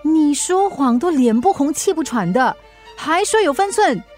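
A woman acting a strict, exasperated mother in a Mandarin radio drama, scolding and quick to anger. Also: high-pitched, lively intonation, darkish tone, soft background music. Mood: accusing, angry. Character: strict, exasperated working mother of a teenage girl.

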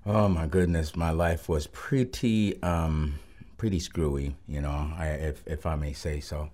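A man from Rico, a Spanish speaker, giving a halting, reflective personal testimony as a guest pastor on a radio show. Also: a bass voice, steady tone, warm tone. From Puerto Rico. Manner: halting and reflective, with frequent pauses.

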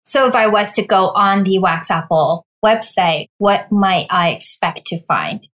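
A woman's voice: medium pitch, lively intonation, unhurried, fairly bright tone, echoey room. A woman hosting a podcast, presenting and interviewing in a casual, conversational style. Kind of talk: scripted intro and casual interview.

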